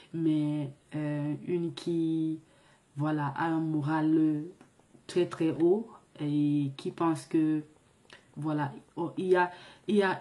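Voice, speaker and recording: A woman's voice: deep voice, warm tone. A woman hosting a vlog while sick with the flu. She talks in an earnest, reflective way and often stops to hesitate and restart. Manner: halting, many fillers and restarts. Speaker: vlog host. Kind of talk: casual vlog monologue.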